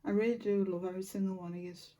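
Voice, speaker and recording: A woman hosting a colouring channel who is losing her voice and sounds a little croaky, chatting softly to viewers as she colours. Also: medium pitch, steady tone, very fast, recorded from a distance. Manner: chatty, soft-spoken, thankful to viewers. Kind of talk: casual chat while colouring.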